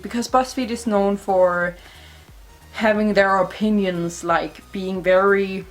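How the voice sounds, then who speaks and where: Danish girl vlogging, blunt and chatty, lots of ums and laughs, middle pitch. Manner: blunt, chatty, laughs often, swears, many fillers. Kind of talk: casual talking-to-camera vlog.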